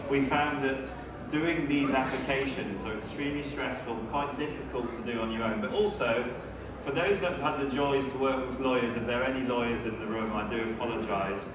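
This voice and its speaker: A man's voice: medium pitch, background noise. A man in his thirties from France presents a seminar, chatty and joking with the audience. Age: 30s. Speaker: seminar speaker, company founder. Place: large exhibition hall. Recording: echoey hall, speaker far from mic.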